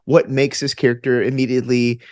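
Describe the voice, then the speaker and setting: A man's voice: mid-range voice, flat delivery, a little fast, no echo, studio quality. A man answering as an interview guest, earnest and reflective, thinking aloud with plenty of "like" and "you know". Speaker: podcast guest, actor and tabletop gamer.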